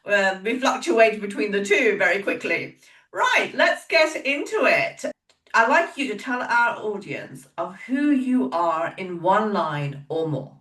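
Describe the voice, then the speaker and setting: A woman's voice: medium pitch, big pitch swings, a little slow, fairly bright tone. Upbeat woman hosting a podcast. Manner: upbeat, emphatic, motivational. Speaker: podcast host. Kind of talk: scripted intro then casual interview chat. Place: home, in British weather. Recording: roomy echo, a bit far from the mic, faint music.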